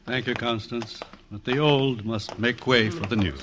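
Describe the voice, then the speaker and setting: A man's voice: mid-range voice, fairly even intonation, normal speed, natural voice. An actor playing an older, gracious, formal male chief of staff in a 1940s radio drama. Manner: formal, courteous.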